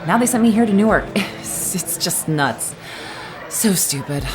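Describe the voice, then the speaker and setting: An actress playing an exasperated, talkative stranded traveler in an audio drama, griping chattily. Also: female voice, mid-range voice, expressive, unhurried, music in the background, lightly acted.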